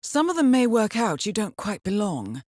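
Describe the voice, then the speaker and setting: A woman's voice: mid-range voice, very animated, moderate pace, darkish tone, no echo, studio quality. An actress playing a cool-headed female intelligence handler in a video game, giving scripted mission briefings in a composed, formal, dryly understated way. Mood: businesslike, composed.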